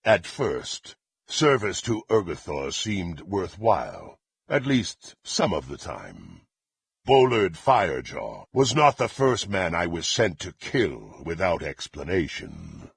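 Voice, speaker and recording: An actor playing a proud, menacing, bloodthirsty warrior in a fantasy video game, with a gravelly, husky voice and an imperious, threatening manner. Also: male voice, sits low, big pitch swings, slow pace, no room sound, full character voice. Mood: brooding. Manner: imperious, threatening, formal archaic phrasing.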